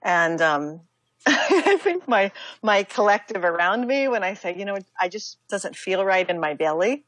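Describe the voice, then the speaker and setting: An American woman speaking as an interview guest, talking reflectively in a halting, casual way with many asides. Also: mid-range voice, big pitch swings, fairly bright tone, dry recording. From United States. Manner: reflective, halting, with fillers and self-quoting asides. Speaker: interview guest, yoga teacher and author.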